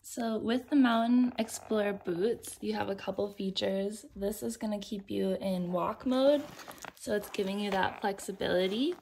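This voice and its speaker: A woman's voice: medium pitch, moderate pace, gravelly, a little mellow, slight background noise. A young woman talking casually and step by step as she demonstrates how her ski gear works. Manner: friendly, explains step by step while handling gear. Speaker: outdoor gear vlogger.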